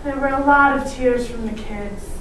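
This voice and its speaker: A woman's voice: high-pitched, moderate pace, fairly bright tone. A woman performing readers theatre, telling a story with dramatic, emphatic delivery. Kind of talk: theatrical ensemble storytelling on stage. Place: large indoor performance space, far mic. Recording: echoey hall from far off, noisy.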